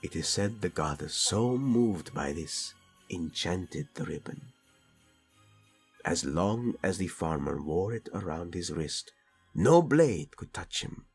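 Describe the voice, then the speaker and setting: A man acts out an intense, doting prince in a romantic audio drama. His husky voice moves slowly and swings expressively as he flirts and teases with tender intimacy. Music plays underneath. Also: sits low, animated, full character voice. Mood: tender storytelling.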